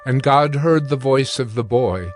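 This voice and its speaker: A man narrating scripture from a script in a formal, measured way. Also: mid-range voice, lively intonation. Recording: background music under the voice.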